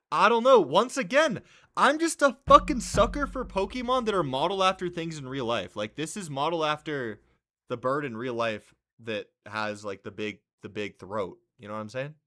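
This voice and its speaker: A man in his twenties from California with an American accent, chatting casually on a live stream in excitable, slangy talk and answering chat messages. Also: fairly high pitch. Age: 20s. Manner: excitable, slangy, swears, says "bro".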